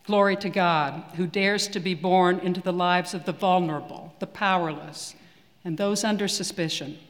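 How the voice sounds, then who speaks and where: A man preaching a sermon in a church, measured and earnest with deliberate pauses. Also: high-pitched, fairly even intonation, a bit bright. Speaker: priest. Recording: long reverb of a church hall.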